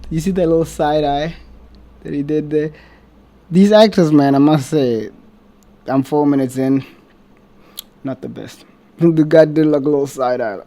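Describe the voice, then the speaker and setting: A man's voice: fairly high pitch, measured pace, long pauses, mellow. A man hosting a reaction video, talking casually in a sarcastic, exasperated way and swearing.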